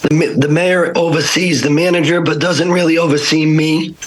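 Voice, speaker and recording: Hoarse male official on a video call, fairly high voice, halting delivery, faint hiss. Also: steady tone. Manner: halting, many fillers. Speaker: elections official. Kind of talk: remote testimony at a council meeting.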